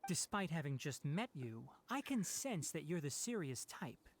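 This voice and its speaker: An actress playing a composed, perceptive woman physician in a video game, measured and guarded. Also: low-pitched, sing-song, slight background noise. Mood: guarded, calm. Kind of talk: scripted video game voice acting. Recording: game music behind the voice.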